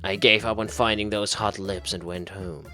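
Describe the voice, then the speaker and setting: Low-voiced man narrating with mock-serious drama. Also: fairly even intonation, normal speed, bright, forceful tone, soft background music. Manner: theatrical, mock-serious delivery. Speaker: gaming video host. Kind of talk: dramatic read-aloud narration of a visual novel.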